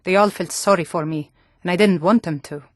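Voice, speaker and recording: A woman's voice: lowish voice, a little fast, no echo, studio quality, lightly acted. A woman voicing a guarded, self-reliant young archery instructor in a video game, plain-spoken and composed. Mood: guarded, matter-of-fact. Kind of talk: video game character dialogue.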